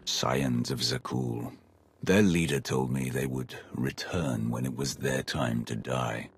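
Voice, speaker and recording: An actor playing a cold, ruthless Sith warrior in a video game, with a gravelly voice and a terse, commanding manner. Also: male voice, steady tone, takes it slowly, exaggerated voice. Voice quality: gravelly, very deep. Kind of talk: dramatic video game acting.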